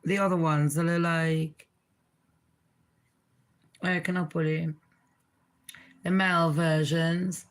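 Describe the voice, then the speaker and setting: A woman with a deep voice hosting her own channel, giving blunt, swearing, chatty commentary. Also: level tone, takes it slowly, long pauses. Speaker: channel host. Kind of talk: casual reaction commentary.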